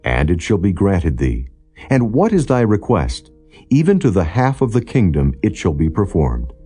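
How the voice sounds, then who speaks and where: Man reading as a regal king, animated and husky. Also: low-pitched, normal speed, faint music behind, exaggerated voice. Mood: regal, inquiring. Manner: regal, formal, questioning. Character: eager, generous king addressing his queen and court.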